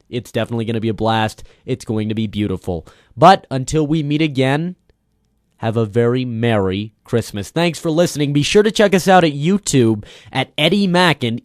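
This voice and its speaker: A man's voice: medium pitch, dry recording, clean studio sound. A man giving an earnest, formal radio show sign-off as its writer and director. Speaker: writer, director and lead actor, signing off.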